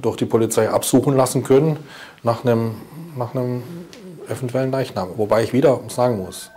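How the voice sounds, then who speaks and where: Mellow-voiced middle-aged man, official interview. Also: mid-range voice, fairly quick, a little hiss or hum. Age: 50s. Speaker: state prosecutor interviewed. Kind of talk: formal interview statement. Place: indoor office.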